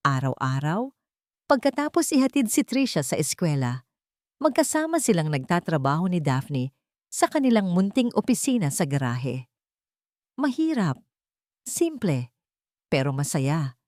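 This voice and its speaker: Expressive woman narrating a sentimental love story. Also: lowish voice, measured pace, pauses often, no echo, studio quality. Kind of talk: scripted romantic story narration.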